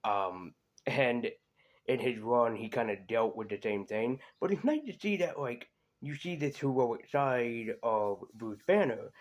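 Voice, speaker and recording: A man's voice: mid-range voice, animated, a little slow. A man reviewing cartoons in a casual, conversational way, hesitating and drifting into asides as he goes. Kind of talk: casual rambling review commentary.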